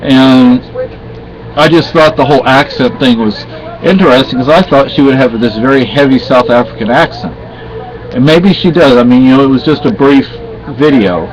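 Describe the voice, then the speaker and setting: A man's voice: mid-range voice, normal speed, low-quality recording. A middle-aged man from Spain chatting casually and rambling on a home vlog, often correcting himself. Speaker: vlogger. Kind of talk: casual chat.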